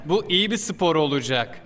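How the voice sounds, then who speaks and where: An actor playing a cold, scheming villain prince in a dubbed cartoon, measured and menacing. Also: male voice, high-pitched, exaggerated voice. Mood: gloating. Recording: loud music behind the voice.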